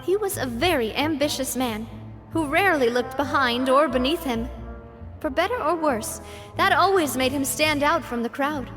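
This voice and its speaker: A young female leader in a video game. Her voice is high, with a cheerful, kindly and teasing manner. Soft music sits faintly behind her. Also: animated, normal speed. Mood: friendly. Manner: friendly, teasing, upbeat.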